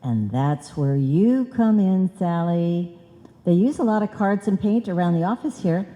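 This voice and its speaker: Woman acting a scatterbrained schemer, slow and mellow, in a hall. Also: on the low side, lively intonation, highs cut off. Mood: breezy, scheming. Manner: confident malapropisms, muddled logic. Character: scatterbrained, bossy married woman hatching a money-making scheme. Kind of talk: old-time radio comedy acting, performed live. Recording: live hall recording, long reverb.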